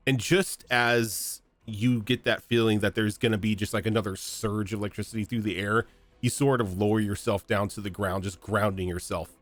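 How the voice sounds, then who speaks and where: A man with a fairly low voice narrates as game master over an online call, pausing often to rephrase. Soft music plays behind him. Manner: hesitant, full of fillers and restarts.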